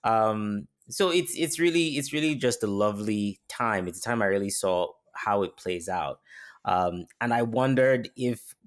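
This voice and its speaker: A man's voice: fairly low pitch, measured pace. A man who works as a voice actor, talking casually and enthusiastically as an interview guest.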